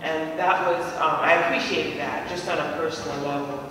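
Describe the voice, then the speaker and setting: A man's voice: on the high side, fairly even intonation, normal speed, music in the background. A man in his forties from Jamaica, a panelist speaking thoughtfully and searching for words at a discussion. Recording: far off mic in an echoey hall.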